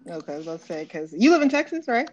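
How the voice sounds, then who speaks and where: A woman's voice: on the low side, big pitch swings, rapid-fire. A woman from Oakland hosting a podcast, chatting casually and laughing often. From Oakland, California. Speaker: podcast host. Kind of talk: casual interview chat. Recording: muffled lo-fi audio with faint noise.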